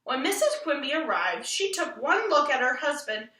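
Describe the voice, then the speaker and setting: A woman's voice: high voice, animated, moderate pace, pushed and bright. A woman narrating a children's story expressively, as a storybook reader. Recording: echoey room, distant microphone.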